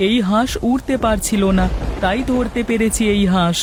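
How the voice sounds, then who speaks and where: A man's voice: high-pitched, normal speed, close to an everyday voice. A man voicing an eager young village boy in a cartoon story. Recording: noisy track with rain ambience.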